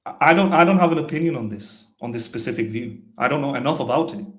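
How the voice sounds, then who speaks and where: A man's voice: medium pitch, lively intonation, fast talker. A man co-hosting a stream, explaining philosophical points with frequent hesitant restarts. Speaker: co-host. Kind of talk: philosophical discussion. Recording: roomy echo.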